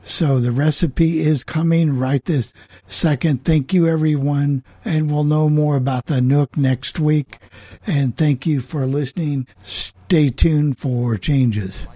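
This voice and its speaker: A man hosting a casual radio panel discussion, talking in a halting, repetitive way. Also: fairly high pitch, steady tone, dark tone, dry recording. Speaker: host of an online radio coffee club show.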